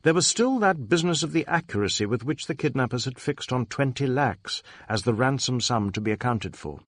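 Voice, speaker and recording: Man, audiobook-style narration, detective story, mid pitch, lively intonation, dry recording. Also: fairly quick, no room sound. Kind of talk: scripted dramatic narration of a detective novel.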